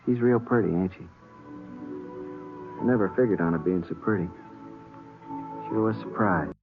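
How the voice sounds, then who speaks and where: A man's voice: on the low side, moderate pace, close to an everyday voice. An actor playing a bitter, defensive young condemned man in a 1950s radio western, with rustic, folksy speech. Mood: wistful. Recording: muffled old radio recording, faint music.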